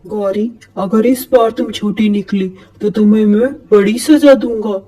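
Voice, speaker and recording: A woman voicing a male owl king who judges the birds in a moral-story cartoon, measured and commanding. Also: medium pitch, steady tone, dark tone, lightly acted, rough recording. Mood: stern.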